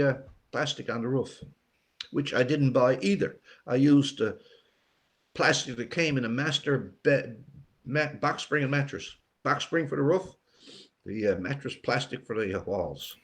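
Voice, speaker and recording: An older man, an author talking plainly in short, emphatic phrases in an interview. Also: mid-range voice. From Newfoundland, Canada. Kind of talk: conversational interview.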